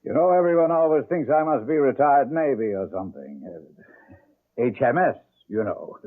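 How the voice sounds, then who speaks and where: A man playing a genteel English landlord with a husky, swooping voice, fussily polite and faintly sinister. He rambles and corrects himself in old radio mystery style, through a narrow, tinny broadcast sound. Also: mid-range voice, expressive, normal speed, natural voice. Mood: affable, fussy. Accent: British. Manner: fussy, rambling, self-correcting, chuckling politeness. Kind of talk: radio mystery drama acting.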